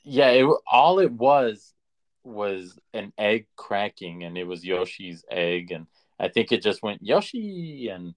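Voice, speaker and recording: Slow-talking man with a lowish voice. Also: very animated. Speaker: podcast co-host. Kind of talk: casual movie chat.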